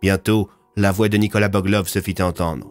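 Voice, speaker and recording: Male storyteller for scripted audio fiction, lower register, dramatic narration, soft music bed. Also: steady tone, moderate pace. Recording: music under the voice.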